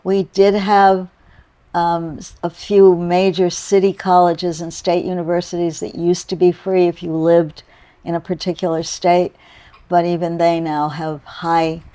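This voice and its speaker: A woman's voice: lowish voice, flat delivery, a little slow, dark tone. An American woman hosting a health podcast, asking thoughtful questions of her guest. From United States. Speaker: podcast host. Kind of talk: interview conversation.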